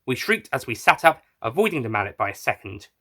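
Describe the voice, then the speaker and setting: Male storyteller, mid-pitched and bright, for scripted first-person adventure narration. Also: big pitch swings, fast talker. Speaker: narrator and writer of an audio story.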